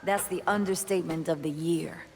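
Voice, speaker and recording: Actress doing tired sarcastic lady cop in a game, lowish voice, big reverb. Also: fairly even intonation, moderate pace, darkish tone, close to an everyday voice. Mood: weary sarcasm. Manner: dry, weary sarcasm. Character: gruff, exasperated female police officer, grudging and sardonic. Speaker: video game voice actor. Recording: long reverb, background noise.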